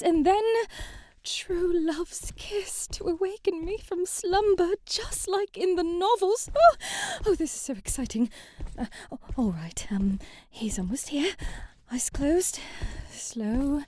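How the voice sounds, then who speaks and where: A woman's voice: very high voice, slow pace, warm tone. A woman playing a sheltered, romantic young princess in an ASMR audio drama, with flowery, theatrical storybook phrasing. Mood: breathless, giddy. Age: young adult. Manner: theatrical, flowery, prim storybook phrasing, sighing, often half-whispering.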